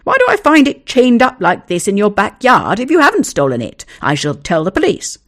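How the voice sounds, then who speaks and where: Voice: mid-range voice, huge pitch swings, brisk, voice pushed up. A reader voicing an indignant man who furiously accuses his neighbour of theft. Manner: shouting, indignant. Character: angry indignant man accusing a dog thief.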